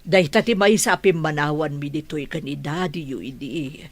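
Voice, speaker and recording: A woman acting a weary mother with a husky voice in a radio drama. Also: sits low, moderate pace.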